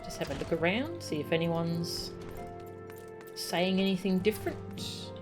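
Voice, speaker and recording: A woman's voice: on the low side, sing-song, unhurried. A woman giving casual livestream commentary as she plays a video game, with game music behind her. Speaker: game streamer reading dialogue aloud.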